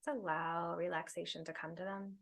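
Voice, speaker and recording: A woman leading a guided meditation, speaking soothingly in unhurried phrases with long pauses. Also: on the low side, flat delivery, gravelly, fairly bright tone. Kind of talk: guided meditation, spoken slowly to listeners.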